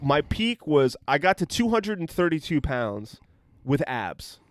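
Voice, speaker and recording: A man's voice: a little high, very animated, measured pace, no room sound, clean studio sound. A man in his thirties co-hosting a casual podcast, rambling and joking, often trailing off mid-sentence. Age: 30s. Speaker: podcast co-host. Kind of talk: casual chat.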